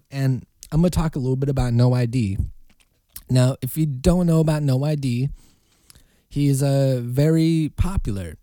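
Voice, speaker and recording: A man's voice: medium pitch, a little slow, pauses often, mellow, no echo, clean studio sound. A young man hosting a casual solo podcast, talking in a loose, rambling way and swearing freely. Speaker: podcast host.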